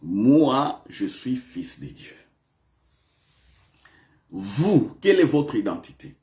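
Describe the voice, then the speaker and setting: A man's voice: mid-range voice, sing-song, slow pace, darkish tone. A male pastor preaching a sermon in a church, repeating questions to the congregation with big swings of emphasis.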